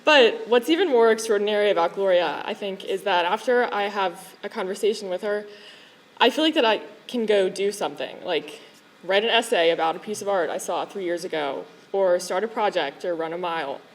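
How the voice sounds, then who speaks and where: A woman's voice: mid-range voice, a little fast, low-quality recording. Chatty woman telling a fond anecdote. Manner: warm, chatty, anecdotal, laughs between lines. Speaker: school staff member and chaperone. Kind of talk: personal tribute speech. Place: school event hall.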